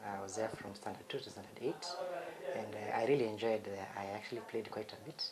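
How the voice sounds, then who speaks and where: A man in his fifties with a Kenyan accent tells his story at a brisk clip in a relaxed, reflective way. A crowd murmurs behind him. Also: on the low side. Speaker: cardiologist guest. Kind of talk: conversational interview.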